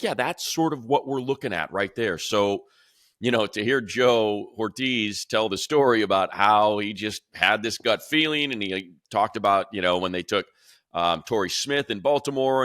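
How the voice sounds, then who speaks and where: A male radio play-by-play announcer in casual sports talk, animated and talking in quick bursts with restarts. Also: fairly low pitch, no room sound, studio quality. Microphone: video call.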